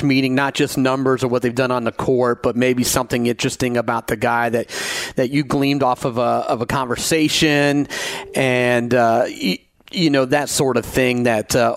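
A man's voice: mid-range voice, normal speed, no echo. A man asking questions as a podcast interviewer in a conversational style.

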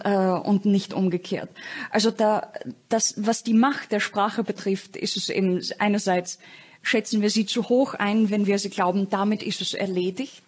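A woman makes her points as a guest on a talk show panel. She speaks in a measured, articulate way and sometimes pauses on a filler while she thinks. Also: medium pitch, slightly rough.

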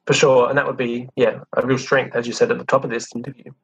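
A man's voice: mid-range voice, little pitch movement, fast, vocal fry, slightly rough. A man interviewing a guest, asking friendly, rambling questions full of fillers. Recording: slightly muffled audio.

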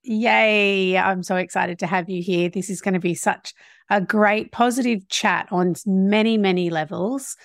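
A woman's voice: medium pitch, steady tone, unhurried, creaky, dry recording. Woman, podcast host, bubbly, encouraging, dry close sound. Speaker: podcast host and marketing coach. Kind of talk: scripted intro, then casual interview chat.

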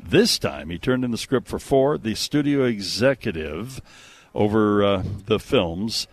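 A man with a lowish voice hosts a radio movie show, reading news and bantering casually. He is emphatic and jokey, with very lively intonation. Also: unhurried, dry recording. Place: radio studio.

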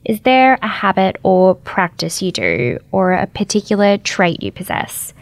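A woman's voice: medium pitch, big pitch swings, unhurried, vocal fry, no room sound. A woman hosting a podcast, giving short affirmations and asking the closing question. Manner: brief, affirming, asks questions. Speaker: podcast host. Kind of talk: podcast interview.

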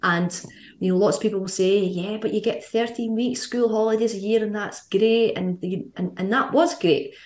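A woman in her thirties with a Scottish accent, chatting as a guest on a podcast, quick and full of fillers. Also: mid-range voice, steady tone, darkish tone, echoey room. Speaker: guest, fitness coach. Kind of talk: casual podcast interview.

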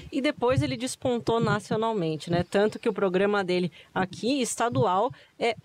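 Woman interviewer, calm, mid pitch, steering the chat. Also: moderate pace. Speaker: interviewer, host. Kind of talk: interview questions and summaries.

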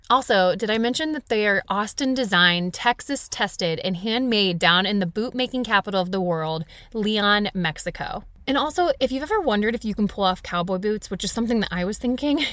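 A woman's voice: mid-range voice, a bit bright, no room sound. A woman presenting an advertisement in a conversational, personal-endorsement style. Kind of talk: conversational host-read advertisement.

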